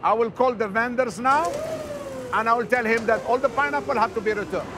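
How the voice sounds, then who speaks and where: A man's voice: extremely high pitch, fairly quick, background noise. A man working as a provisions manager, explaining and giving instructions on the job in blunt, short phrases. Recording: location sound with music underneath. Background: music.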